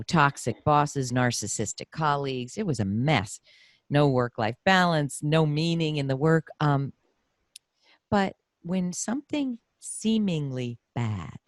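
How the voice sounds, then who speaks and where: A woman's voice: extremely low pitch, no room sound. A middle-aged woman hosting a podcast, talking in an emphatic, effusive, conversational way. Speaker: podcast host. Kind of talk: conversational interview.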